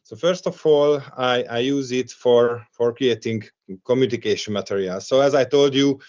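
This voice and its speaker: A man's voice: medium pitch, moderate pace, a little mellow, highs cut off. A man with Hungarian as his first language, speaking as a panelist at a round table, hesitant, with many restarts and fillers.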